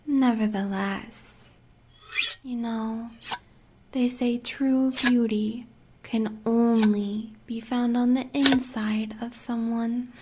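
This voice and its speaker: A woman's voice: on the high side, drawn-out words, long pauses, heavy background noise. A woman with an American accent playing a sadistic, seductive huntress in an audio roleplay, talking in a teasing half-whisper. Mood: menacing.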